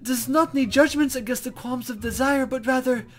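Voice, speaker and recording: Mystical young woman guide for a fantasy game, done by an amateur reader: high, playful, easily flustered, courtly wording. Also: steady tone, normal speed, lightly acted. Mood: passionate. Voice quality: put-on, wavering accent. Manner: stammering, formal, ornate phrasing.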